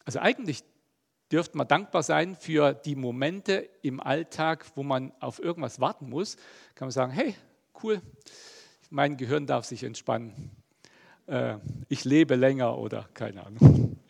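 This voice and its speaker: A middle-aged man from East Germany preaching a relaxed, conversational sermon, chatty and loose with plenty of fillers. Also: medium pitch, a little slow, gravelly, breathy edge.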